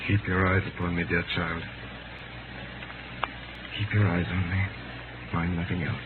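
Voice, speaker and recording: An actor playing a calm, tender man resigned to death, in solemn, measured 1930s radio drama style on a scratchy old recording. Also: deep voice, narrow, tinny audio. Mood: gentle, reassuring. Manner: solemn, measured, theatrical delivery.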